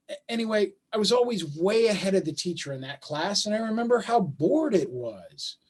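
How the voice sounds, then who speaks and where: Father, male actor, high-pitched voice. Conciliatory, self-deprecating, halting, working-class dad in naturalistic stage drama. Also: big pitch swings, slightly rough, heavily acted. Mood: confiding. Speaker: stage actor in a play reading. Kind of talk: staged play reading, naturalistic dialogue. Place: remote video call.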